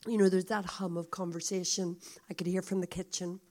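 A woman with a croaky, hoarse voice, a visiting speaker telling a story to a congregation in a chatty, confiding way. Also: on the low side, level tone, a little fast, darkish tone. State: hoarse, coughing.

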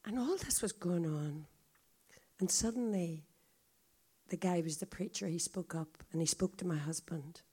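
A woman with a croaky, hoarse voice, a visiting speaker telling a story to a congregation in a chatty, confiding way. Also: lowish voice, measured pace, mellow. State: hoarse, coughing.

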